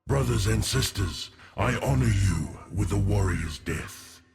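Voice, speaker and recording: An actor playing a grim, zealous warrior champion in a video game, with a raspy, gravelly voice and solemn, menacing ceremony. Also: male voice, deep voice, full character voice. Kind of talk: scripted video game monologue.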